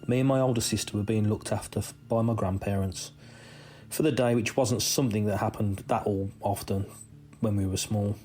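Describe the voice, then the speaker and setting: A man with a fairly low voice narrates a personal story in the first person. He reads in a measured way, leaving deliberate pauses between phrases, with music playing underneath. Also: fairly even intonation, darkish tone. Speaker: reader of a listener's true story.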